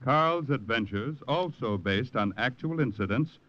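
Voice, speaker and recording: A man's voice: mid-range voice, lively intonation, measured pace. A man delivering dramatic scripted narration in the style of 1940s radio. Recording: dull vintage radio broadcast, music behind.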